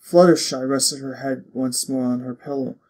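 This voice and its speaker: A man reading fiction aloud as a narrator, in an expressive, dramatic storytelling style. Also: mid-range voice, moderate pace. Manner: expressive, literary storytelling delivery.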